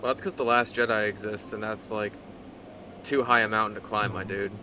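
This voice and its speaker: Low-pitched blunt man, noisy recording. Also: little pitch movement, brisk. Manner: blunt, sardonic, swears freely. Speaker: co-host reading a story aloud. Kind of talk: casual commentary.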